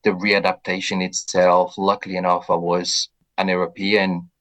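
A deep-voiced man with a Brazilian accent talks through his answers slowly and thoughtfully. He pauses on soft fillers as he gathers each thought. This is a relaxed radio interview guest. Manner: reflective and measured, many soft fillers.

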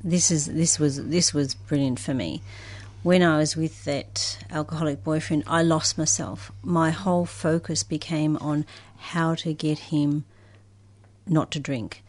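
A woman's voice: deep voice, steady tone, measured pace, a little mellow. A woman candidly recounting her own life story as a guest in a discussion. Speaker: guest sharing personal story. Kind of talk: personal recollection.

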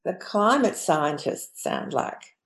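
The speaker is a woman with a somewhat low voice. She talks reflectively as a guest in a discussion, in measured, short phrases. The sound is clearly echoey and roomy. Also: expressive. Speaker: panel guest.

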